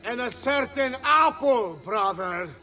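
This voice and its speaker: An actor playing a taunting, mock-courteous mercenary villain in a video game. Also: male voice, extremely high pitch, expressive, takes it slowly, sharp tone, soft background music, exaggerated voice. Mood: mock courtesy. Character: gloating villain mercenary nobleman, shouting threats. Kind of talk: video game cutscene acting.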